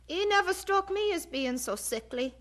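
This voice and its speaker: A woman's voice: very high-pitched, expressive, normal speed, fairly bright tone. An actress playing a nosy, flippant young woman with a pert manner in an audio drama.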